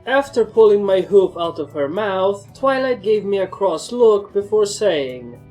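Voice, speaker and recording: A woman's voice: mid-range voice, expressive, unhurried, a little mellow, faint music behind, rough recording. A woman reading first-person fan fiction as a scripted story narrator.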